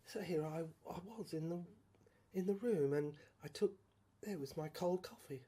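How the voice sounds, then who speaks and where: A woman playing a tormented, haunted dreamer in an audio drama monologue, halting and repetitive, with a husky voice that slips into whispers. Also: low-pitched, mellow. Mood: hushed, wondering. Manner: halting, repetitive, intense delivery. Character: tormented, haunted dreamer recounting a surreal inner ordeal.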